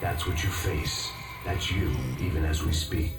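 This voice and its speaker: An actor playing a calm, cryptic male guide who speaks gravely. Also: low voice, measured pace. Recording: distant echoey voice under loud music.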